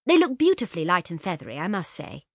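A woman voicing an eager, well-bred young woman who speaks in a bright, gushing way. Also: lowish voice, very animated, fast, no room sound, studio quality. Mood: practical.

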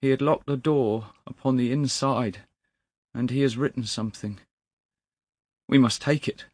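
A man's voice: medium pitch, unhurried, a bit bright, dry recording, pitched above the performer's own voice. A man voicing an anguished, loyal male clerk in a dramatic storybook reading, deferential and pleading. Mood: distraught, urgent. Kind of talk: dramatic storybook character acting.

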